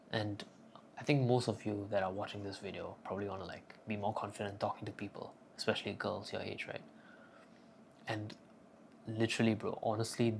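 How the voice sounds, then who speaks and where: A young man talks straight to camera with cocky, crude humor, handing out blunt advice. His voice sits low and carries a gravelly fry. Faint noise hums underneath. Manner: cocky, profane, joking bravado. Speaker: self-improvement vlogger. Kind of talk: casual crude advice monologue.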